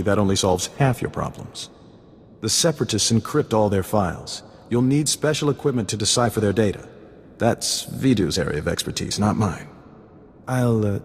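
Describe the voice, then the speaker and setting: A man's voice: lowish voice, a little hiss or hum, natural voice. Man playing sly smuggler, florid, over-polite, long-winded, game dialogue, roomy reverb. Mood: polite, amused. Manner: florid, mock-polite, wordy. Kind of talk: video game character dialogue. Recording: reverberant hall sound under music.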